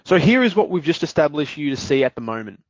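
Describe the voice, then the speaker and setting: Male presenter, measured explainer, dry and dull lo-fi web audio. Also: a little high, sing-song, no echo. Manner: measured, explanatory, some fillers. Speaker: webinar presenter, business development manager. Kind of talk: product demo webinar.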